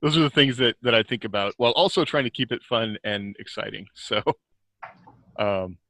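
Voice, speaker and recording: A man talking casually on a group podcast as a guest author, reflective and halting. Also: on the low side, bright, forceful tone.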